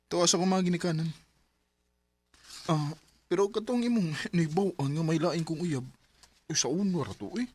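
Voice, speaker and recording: A man's voice: on the high side, moderate pace, long pauses, a little mellow, lightly acted. An actor playing an unfaithful man with three children who makes defensive excuses in a radio drama. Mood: pleading.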